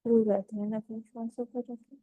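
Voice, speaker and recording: A woman leading a guided meditation as instructor, speaking in a measured, gently instructive way with pauses between phrases. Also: a little high, level tone, no room sound, narrow, tinny audio.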